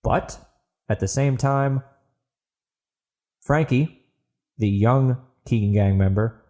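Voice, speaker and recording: A man's voice: medium pitch, slow pace, warm tone. A man reading a scripted story as the narrator of an audio book. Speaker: author narrating his audio book podcast.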